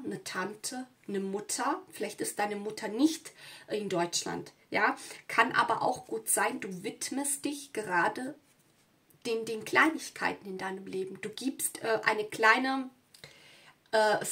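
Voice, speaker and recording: Casting: female tarot reader, mid-pitched voice, informal spoken delivery straight to the viewer, frequent little check-in tags. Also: a bit bright. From southern Europe. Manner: conversational, often checking in with "ja". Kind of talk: casual spoken card reading to viewers.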